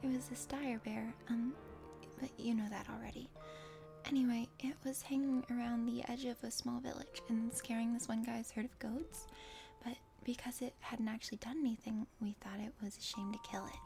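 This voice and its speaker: A plucky young woman adventurer from a visual novel, as a woman reader plays her, in a highish voice. She is casual and wry, with a teasing edge. Music is clearly heard behind her. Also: fairly even intonation, normal speed. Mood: hesitant, casual. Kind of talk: visual novel character voice-over. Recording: music under the voice.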